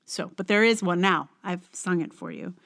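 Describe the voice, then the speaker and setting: A woman's voice: fairly low pitch, big pitch swings, normal speed, creaky, a bit bright. A woman podcast host chatting casually in a rambling, conversational way.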